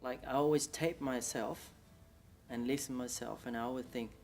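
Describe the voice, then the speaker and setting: A man with a Vietnamese accent recalling his life in halting, second-language English, with frequent restarts. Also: medium pitch, fairly even intonation, a little mellow, soft background music. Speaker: interviewee. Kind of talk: casual recollection.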